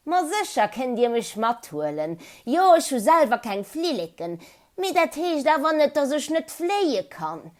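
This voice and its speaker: A woman plays a storybook turtle who is stubborn and curious. The voice swoops up high, wheedling and exclaiming as it insists on getting its way. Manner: wheedling, exclaiming, drawn-out pleading. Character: curious, stubborn, pleading turtle, sleepy and grumpy.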